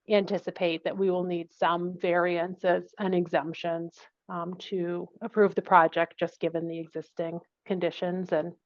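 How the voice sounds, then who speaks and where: A woman presenting a project at a public meeting, talking in a casual, friendly way. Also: mid-range voice. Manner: casual and friendly, plain conversational phrasing. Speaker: project consultant presenting to a commission. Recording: dull lo-fi meeting recording.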